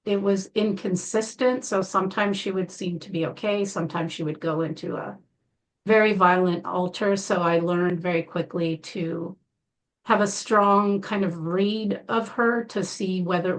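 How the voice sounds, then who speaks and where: Calm low-voiced woman recounting her past. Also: poor audio. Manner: calm, measured, frequent pauses and fillers. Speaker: guest. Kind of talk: interview, personal account.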